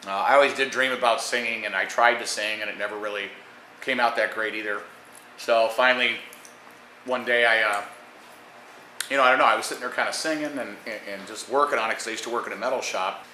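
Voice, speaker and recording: American guy joking and swearing in a band interview, really sharp bright voice, echoey room. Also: on the low side, fairly even intonation, quick pace. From Phoenix, Arizona, USA. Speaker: band musician, guitarist and singer. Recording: roomy echo, faint noise.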